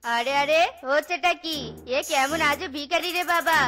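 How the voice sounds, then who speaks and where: A woman's voice: high-pitched, moderate pace, lightly acted. A woman voicing a flustered, irritable housewife in a cartoon, fussy and exclamatory. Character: flustered housewife busy cooking, irritable, protesting. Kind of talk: cartoon voice acting. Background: music.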